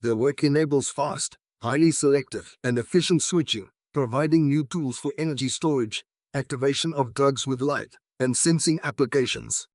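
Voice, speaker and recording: A man's voice: medium pitch, normal speed, few pauses, a little mellow, dry recording, clean studio sound. A man reading scripted academic passages aloud as exam practice.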